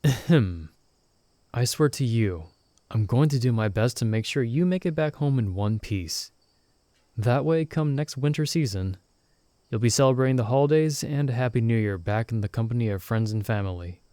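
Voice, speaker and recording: A young man voicing a loyal friend stranded in another world, who talks in a soft-spoken, gently teasing and reassuring way in an ASMR roleplay recorded close to the mic. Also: mid-range voice, expressive, moderate pace, no echo, natural voice. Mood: gentle, reassuring.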